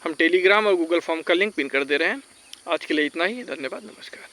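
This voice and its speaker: A man's voice: on the high side, brisk, sharp tone. A man giving informal, insistent advice to students, cautioning and repeating his points. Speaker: exam-advice video creator. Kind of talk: informal advisory talk to students.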